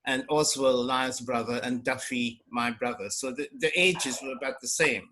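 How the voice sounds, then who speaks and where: A man's voice: mid-range voice, normal speed, pushed and bright. An older man from Guyana hosting a family video call, telling old stories in a chatty, reminiscing way. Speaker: family meeting host. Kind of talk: casual storytelling on a video call.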